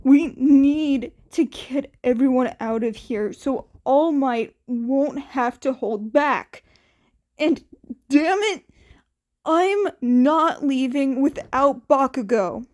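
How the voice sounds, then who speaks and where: Woman playing a forceful, hot-headed teenage boy hero. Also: high-pitched, takes it slowly. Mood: urgent, frustrated. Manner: forceful, snapping.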